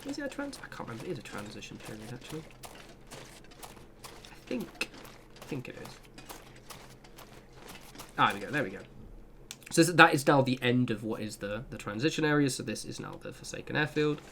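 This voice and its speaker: A man's voice: medium pitch, very animated, brisk, vocal fry, long pauses, noisy background. A young man giving a casual, rambling gameplay guide with self-deprecating asides. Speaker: gaming channel host.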